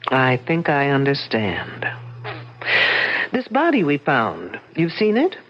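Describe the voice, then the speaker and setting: A brisk lady detective. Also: rumbling low, huge pitch swings, exaggerated voice. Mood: probing. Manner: brisk, impatient, probing. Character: brisk adult woman detective, sharp-tongued. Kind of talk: 1970s radio drama acting. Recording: dull old radio broadcast.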